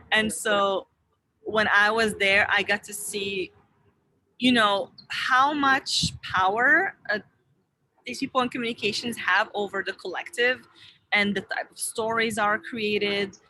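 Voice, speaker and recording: A young adult woman from Morocco speaks as an interview guest, with a bright, clear tone. She talks in an earnest, rambling way, restarting her sentences and filling the gaps as she goes. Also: medium pitch, steady tone, slow, rough recording. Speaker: interview guest, wellness founder. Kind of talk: casual interview talk. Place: online video interview.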